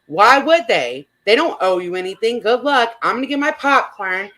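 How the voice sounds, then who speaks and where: A woman with a fairly high voice hosts an informal, gossipy chat. She talks casually and reads comments aloud with a giggly manner. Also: very animated, moderate pace, sharp tone. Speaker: host.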